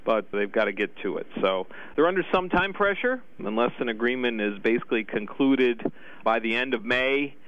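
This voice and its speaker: A man's voice: medium pitch, lively intonation, normal speed, no echo, dull audio. A man explaining trade policy in a matter-of-fact news soundbite. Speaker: policy official interviewed.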